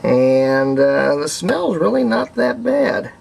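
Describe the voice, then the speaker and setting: A man giving a casual hands-on demonstration, unhurried and folksy, thinking aloud as he works. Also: a little high, sing-song, creaky, a little mellow, breathy edge. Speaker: hobbyist restorer and video host.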